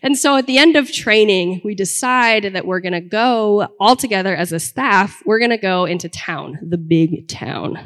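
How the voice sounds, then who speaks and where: A young woman recounts a personal story live on stage, lively and self-mocking. Her mid-pitched voice rings out with a long hall reverb around it. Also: moderate pace, hardly any pauses.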